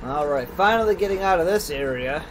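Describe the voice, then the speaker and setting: A man's voice: fairly high pitch, animated, fairly quick. A man doing casual gameplay commentary, thinking aloud and chatting informally to his viewers. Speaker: gamer, host of a let's play. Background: game music.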